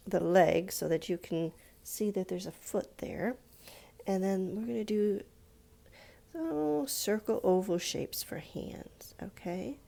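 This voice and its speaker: Gravelly-edged mellow woman teaching children. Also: mid-range voice. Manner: simple, patient explaining with questions to young listeners. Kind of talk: informal step-by-step drawing lesson for children.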